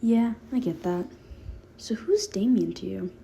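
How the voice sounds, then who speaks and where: A woman's voice: medium pitch, unhurried, gravelly, dark tone, slightly rough. A woman voicing a feral, needy little sister with a blunt, whiny manner in a fan fiction audiobook. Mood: incredulous, curious.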